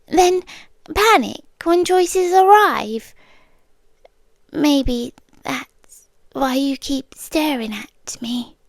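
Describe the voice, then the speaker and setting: British woman doing a creepy possessive british yandere gf, asmr roleplay, super slow, breathy half whispers, close dry sound. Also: sits high, no echo, exaggerated voice. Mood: taunting, then softly menacing. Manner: breathy half-whispers, deliberate teasing pauses. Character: menacing, possessive yandere girlfriend, sweetly patient and dangerous. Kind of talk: ASMR roleplay, slow intimate dramatic monologue.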